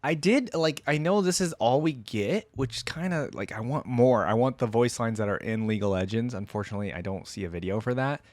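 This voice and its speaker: A man's voice: medium pitch, fairly quick, hardly any pauses, darkish tone, dry recording. A man hosting a fan reaction video with casual commentary, rambling informally and thinking aloud as he talks to viewers. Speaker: host of a fan reaction channel.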